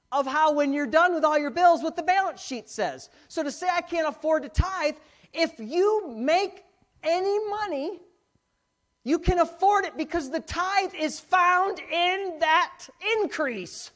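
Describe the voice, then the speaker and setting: A man in his forties delivers an animated sermon as a pastor. He exhorts his listeners emphatically, moves between scripture and plain explanation, and keeps prompting them for agreement. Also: very high voice. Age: 40s. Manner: emphatic, exhorting, with repeated call-and-response checks. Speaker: pastor preaching to a congregation. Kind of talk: animated sermon with scripture readings and rhetorical questions.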